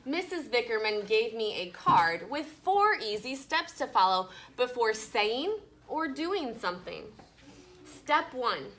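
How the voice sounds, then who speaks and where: A woman's voice: on the high side, sing-song, slow, fairly bright tone. A woman teacher reading a storybook aloud expressively and leading children through it, firm and instructive. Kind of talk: storybook read-aloud with class discussion. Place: classroom with children present.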